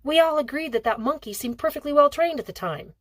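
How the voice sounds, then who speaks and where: A woman's voice: high-pitched, animated, quick pace, no room sound, studio quality, voice pushed up. A woman voicing an earnest teenage schoolgirl in an audiobook, questioning her father in a wary, probing way. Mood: defensive. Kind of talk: audiobook character dialogue.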